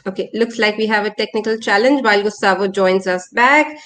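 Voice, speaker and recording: A woman's voice: medium pitch, brisk, a bit bright. A woman moderating a panel discussion, reading a formal introduction and calling on speakers.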